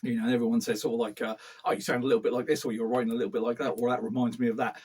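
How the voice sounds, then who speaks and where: A man's voice: level tone, very fast, a little mellow, breathy edge. A man interviewing an author in a playful, joking way. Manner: playful, jokey, swings up and down in pitch. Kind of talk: casual, joking interview chat.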